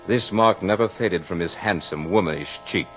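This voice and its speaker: An actor playing a brooding aristocratic courtier in an old radio drama, telling his tale in a measured, florid, dramatic way. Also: low-pitched, over loud music, natural voice. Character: brooding aristocratic French courtier recounting a fatal duel. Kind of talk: 1940s radio drama monologue. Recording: muffled old radio recording.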